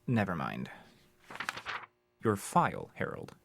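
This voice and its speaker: An actor playing a patient but persistent male interrogator in an audio drama, questioning in a formal, measured way. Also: low-pitched, huge pitch swings, gravelly, background noise, full character voice. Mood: dry, probing.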